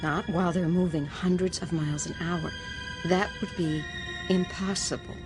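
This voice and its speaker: A woman's voice: fairly low pitch, fairly even intonation, moderate pace, close to an everyday voice. A woman playing a practical, coaxing but insistent wife in a radio drama. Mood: sceptical. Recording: dramatic music behind the voice.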